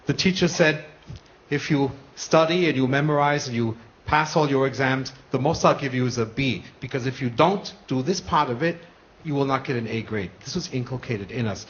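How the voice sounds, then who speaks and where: A man's voice: medium pitch, normal speed. A man, a lecturer in the audience, earnestly putting a long question to the panel with many restarts. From United States. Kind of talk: question from the floor. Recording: muffled broadcast with faint hiss.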